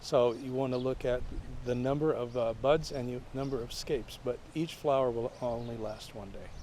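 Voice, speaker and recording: A man's voice: mid-range voice, normal speed, a little mellow, a little hiss or hum. A man who grows daylilies, explaining in a plainspoken, matter-of-fact way during an interview in a garden. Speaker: daylily grower. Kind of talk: casual explanatory interview answers. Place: outdoor garden.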